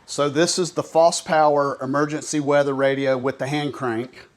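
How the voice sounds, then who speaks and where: A man's voice: medium pitch, fairly even intonation, moderate pace, a little hiss or hum. American man giving a casual product demo. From coastal North Carolina, US. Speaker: product reviewer presenting to camera.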